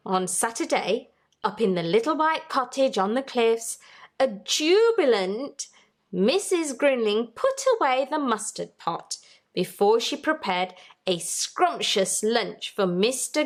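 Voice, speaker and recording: A woman's voice: fairly high pitch, sing-song, slow pace. A woman reading a children's storybook aloud as host, narrating expressively for young listeners.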